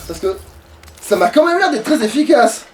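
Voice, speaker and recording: A woman's voice: a little high, sing-song, moderate pace, a little mellow. A woman doing casual, rambling let's play commentary as the player, with informal swearing. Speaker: let's player playing the game. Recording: distant mic, background noise.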